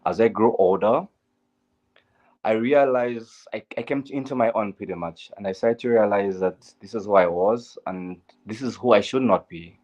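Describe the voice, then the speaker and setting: A young Zambian man speaks in an interview with a lowish voice. He talks earnestly and candidly, filling gaps with "um" and coming back to stock phrases. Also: normal speed, darkish tone, dull audio. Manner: earnest and candid, with frequent "um" and "at the end of the day". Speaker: guest, LGBTQ advocate. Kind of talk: interview, personal story.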